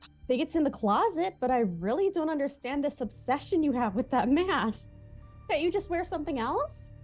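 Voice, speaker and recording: A woman's voice: high voice, sing-song, moderate pace, a little mellow, soft background music. A woman playing a worried, loving, sensible wife who pleads with concern, in an amateur comedy table read. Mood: puzzled, exasperated.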